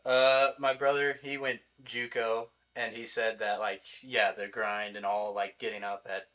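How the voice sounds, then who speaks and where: A young American man with a fairly low, bright voice and very little melody. He answers casually and a little hesitantly, leaning on "like" as he goes, like a college athlete being interviewed. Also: little pitch movement, a little slow, poor audio. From Iowa, United States. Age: young adult. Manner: informal, hesitant, peppered with "like". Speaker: college baseball player, podcast guest.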